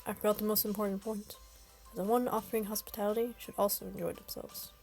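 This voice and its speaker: A woman's voice: mid-range voice, level tone, quick pace, vocal fry, darkish tone, soft background music, natural voice. A woman reading the part of a formal, polite young man who brings good fortune. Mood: earnest. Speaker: voice reader of a game story.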